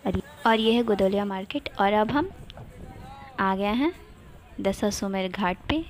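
A woman's voice: medium pitch, a little slow, slight background noise. A young woman from India narrating a casual travel vlog, cheerful and chatty, pointing things out to her viewers. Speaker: vlogger.

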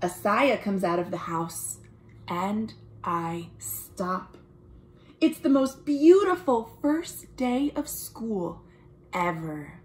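A woman, reading a children's picture book aloud in an expressive, deliberate way as a storytime host. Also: medium pitch, rough recording. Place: small room at home, indoors.

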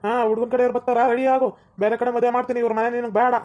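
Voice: mid-range voice, little pitch movement, rapid-fire, darkish tone, a little hiss or hum, natural voice. Someone playing a stubborn scolding village father. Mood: insistent. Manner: gruff, insistent, scolding.

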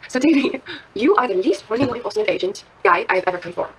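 An actress plays a playful seductress who teases and probes. Her mid-pitched voice moves quickly and flirtatiously in a screen-drama scene, with faint noise behind it. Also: expressive, crowd noise, natural voice. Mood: teasing, probing. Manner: teasing, flirtatious, probing. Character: playful, seductive, probing woman in vampire costume. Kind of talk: naturalistic drama dialogue.

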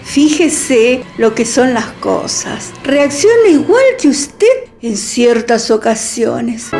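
A woman playing a proud, sharp-tongued young woman in a radio drama, with a Rioplatense Spanish accent. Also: sits high, exaggerated voice. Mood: cold dismissive. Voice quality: cool, ironic, measured. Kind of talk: online radio drama acting. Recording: faint music underneath.

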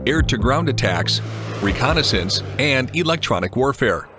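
Guy doing a documentary voice-over, mid pitch, steady and measured, music playing under it. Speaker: narrator. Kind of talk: scripted documentary narration. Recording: voice-over with clear music behind.

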